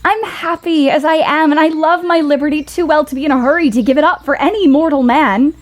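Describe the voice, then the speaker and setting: A woman's voice: high-pitched, a little fast, natural voice. An actress playing a headstrong, loving young woman in an audio play, earnest and emphatic in her delivery. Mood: firm, exasperated.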